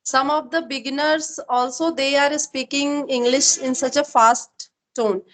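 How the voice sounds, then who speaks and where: A woman's voice: sits high, fairly even intonation, measured pace, fairly bright tone, rough recording. A woman hosting a spoken English practice conversation, agreeing often and talking with a few fillers. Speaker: host of English practice show.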